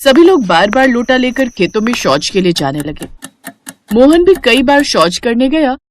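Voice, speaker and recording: A woman's voice: fairly high pitch, very animated, moderate pace, slight background noise. A woman narrating a cartoon moral story in a scripted storytelling style.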